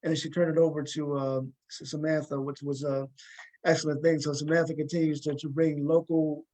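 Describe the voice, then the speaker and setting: A man's voice: on the high side, little pitch movement, a little fast, dark tone, rough recording. A man hosting a casual interview over a video call, often hesitating and repeating his words as he goes. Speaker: talk show host.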